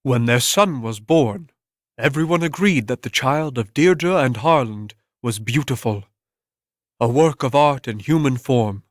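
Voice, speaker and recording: Guy narrating an audiobook story, medium pitch, deliberate dramatic reading, really dry close mic. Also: dry recording.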